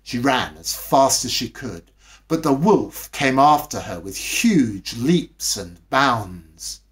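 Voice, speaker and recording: A man narrates a bedtime story from a script. He reads at an unhurried, slow pace with lively swings in pitch. The delivery is expressive and dramatic. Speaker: storybook reader.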